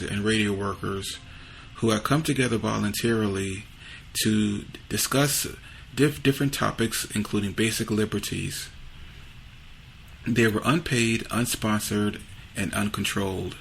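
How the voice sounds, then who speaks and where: A man's voice: lowish voice, moderate pace, creaky, a bit bright, slight background noise, poor audio. A man hosting a podcast, giving an informal, unpolished introduction. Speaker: podcast host. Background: music under the voice.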